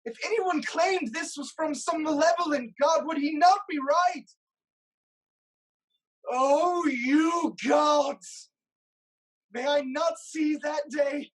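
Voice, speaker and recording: A performer playing a proud, anguished king haunted by prophecy, in a grave, deliberate dramatic reading of Greek tragedy. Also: high-pitched, shouting. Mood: anguished, horrified.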